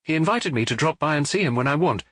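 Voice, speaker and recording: A man's voice: a little high, expressive, fast talker, fairly bright tone, dry recording, clean studio sound, voice pushed up. A man voicing a middle-aged French detective who muses aloud and jokes dryly, in an audiobook. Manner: musing, dryly joking.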